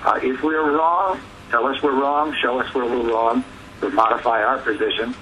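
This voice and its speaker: A man speaking as an advocacy spokesman on a press teleconference, in a halting, measured manner. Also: mid-range voice, steady tone, pushed and bright. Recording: noisy conference phone line.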